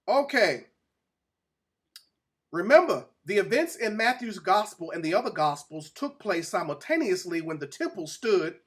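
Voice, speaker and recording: A man with a notably high voice presents a religious teaching talk. He moves between reading excerpts and explaining them directly to his audience. His delivery is emphatic and didactic, like an author walking listeners through his own argument. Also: a bit bright.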